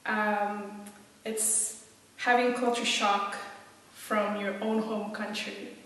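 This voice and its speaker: Woman, Indonesian-raised abroad, unhurried pace, hesitant interview answers, long hollow reverb. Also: medium pitch, little pitch movement, fairly bright tone. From Indonesia, grew up in several countries. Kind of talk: reflective personal interview. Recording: very echoey room, distant mic.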